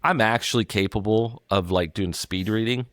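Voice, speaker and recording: Young male podcast co-host, low pitch, dry recording, loose and rambling. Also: normal speed, a bit bright, no room sound. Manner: rambling, swears casually, many fillers. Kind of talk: casual chat.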